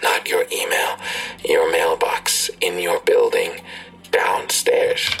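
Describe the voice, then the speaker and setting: An actor in an audio drama playing a menacing male tormentor who gives cold, terse commands in a husky, often whispery voice. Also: deep voice, faint music behind, exaggerated voice, low-quality recording. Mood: calm, insistent. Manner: slow, terse, calmly controlling. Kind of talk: full-cast audio drama acting.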